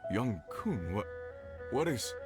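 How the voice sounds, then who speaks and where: An actor voicing an earnest, slightly flustered mining boss in a video game, grateful and eager to help. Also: male voice, mid-range voice, very animated, slow pace, over loud music. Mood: puzzled. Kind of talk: scripted video game voice acting.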